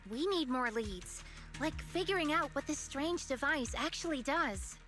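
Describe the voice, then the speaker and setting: High-pitched earnest young woman game character. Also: expressive, a little slow, a bit bright. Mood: thoughtful, serious. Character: earnest young woman illusionist, ethereal expert of a tribe. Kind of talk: anime-style video game voice acting. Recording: music behind the voice. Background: background music.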